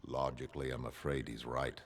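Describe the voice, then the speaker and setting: A man voicing a coldly logical, godlike male superbeing in a motion comic, calm and emotionless. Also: very deep voice, fairly quick, slightly rough, exaggerated voice. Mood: cold, resigned.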